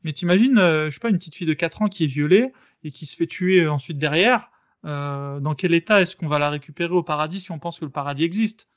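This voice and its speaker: A man hosting a podcast, arguing in a casual debate and often pausing with fillers and restarts. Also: fairly high pitch, dry recording, highs cut off. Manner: hesitant, many fillers and restarts. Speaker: podcast host.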